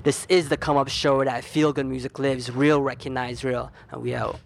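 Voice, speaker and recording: A man interviewing casually as a podcast host, informal and a little halting as he puts his questions. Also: medium pitch, flat delivery, gravelly.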